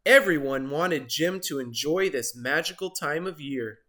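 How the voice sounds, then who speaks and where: A woman narrating a children's picture book as an expressive read-aloud. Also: sits low, measured pace. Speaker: storybook narrator.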